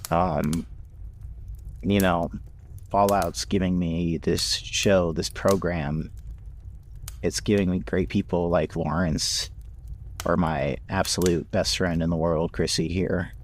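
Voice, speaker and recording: A man with a deep, creaky voice talks slowly and casually. His delivery is heartfelt and reflective, suited to a podcast conversation among friends. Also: steady tone, a little hiss or hum. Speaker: podcast voice actor.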